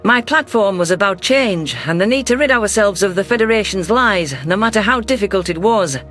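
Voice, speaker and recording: A man reads a science fiction audio story as its narrator. His voice sits very high for a man, and soft music plays underneath. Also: fairly quick. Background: faint music.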